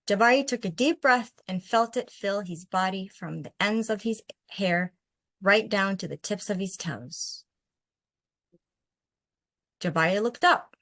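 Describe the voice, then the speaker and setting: A woman's voice: mid-range voice, a little slow, long pauses, a bit bright, no room sound. A woman who hosts read-alouds of picture books and chats about them in an encouraging way. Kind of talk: picture-book read-aloud and casual discussion.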